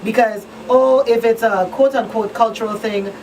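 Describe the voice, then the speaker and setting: A woman's voice: a little high, fairly even intonation, normal speed, a little mellow. Woman explaining things, distant from the mic. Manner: explanatory, checks listeners follow with tag questions. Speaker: talk show co-host and victim advocate. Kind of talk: panel discussion, conversational. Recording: distant mic, faint background noise.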